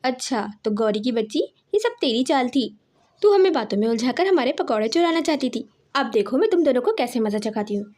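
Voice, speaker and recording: A woman's voice: fairly high pitch, animated, fairly quick, a little mellow. A woman voicing a motherly little sparrow in a children's cartoon story. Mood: indignant. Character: motherly sparrow, indignant when wronged.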